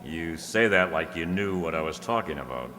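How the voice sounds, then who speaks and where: Guy acting a wisecracking tough detective in a radio play, low dry voice, big echoey hall. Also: lively intonation, normal speed, bright, forceful tone. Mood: probing. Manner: dry, sardonic. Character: wisecracking hard-boiled private detective, adult man. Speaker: amateur radio drama actor. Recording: echoey hall, long reverb.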